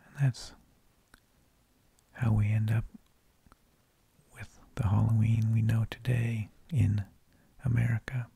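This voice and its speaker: A man whispering an ASMR narration in a hushed, breathy, gravelly voice, unhurried, with drawn-out phrases and pauses, close to the microphone. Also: lowish voice, little pitch movement, no room sound. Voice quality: breathy, mostly unvoiced whisper with gravelly creak. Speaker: ASMR host.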